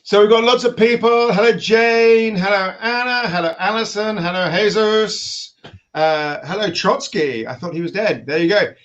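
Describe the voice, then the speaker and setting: Man, English accent, high voice, chatty joking host, dull lo-fi call audio. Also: normal speed. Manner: jokey, bantering. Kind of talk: casual chatty online interview. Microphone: video call.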